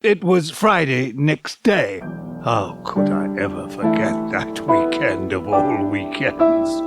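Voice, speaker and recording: An actor playing a besotted, sentimental man in an audio drama, halting and musing, with breathy exclamations. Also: medium pitch, animated, over loud music. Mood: wistful. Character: besotted sentimental man, aged twenty and reminiscing at eighty.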